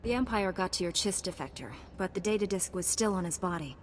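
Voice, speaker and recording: A woman's voice: medium pitch, quick pace, a little hiss or hum, natural voice. A woman voicing a composed, confident warrior heroine in a science fiction video game. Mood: composed, firm.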